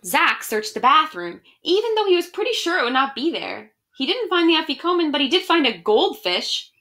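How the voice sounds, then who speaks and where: A woman's voice: sits high, very animated, fairly quick, sharp tone. A young adult woman reading a picture book aloud expressively and chatting casually to children from a small room at home. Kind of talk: animated read-aloud and casual address to children.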